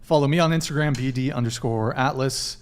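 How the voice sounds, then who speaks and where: A man hosting a casual dating podcast, reading shout-outs and joking between plugs. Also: medium pitch, fairly quick, clean tone. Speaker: podcast host.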